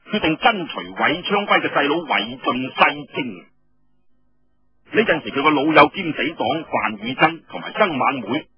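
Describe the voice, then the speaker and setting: A male Cantonese storyteller narrates theatrically in traditional radio style. His voice is very husky and swings widely in pitch, heard on a muffled, echoing recording. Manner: theatrical, colloquial.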